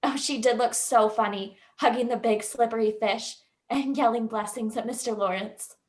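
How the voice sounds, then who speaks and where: A woman's voice: on the high side, steady tone, normal speed, close to an everyday voice. A woman playing a sweet, kind-hearted young sister in a radio play rehearsal, telling her story simply and earnestly. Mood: sweet, admiring.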